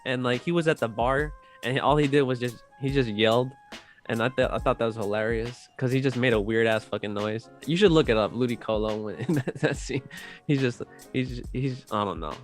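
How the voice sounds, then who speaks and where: A man talks casually and loosely, full of slang and swearing, in an ordinary mid-pitched voice. Music plays behind him as he chats. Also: a little fast. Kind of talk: casual chat about video game movies.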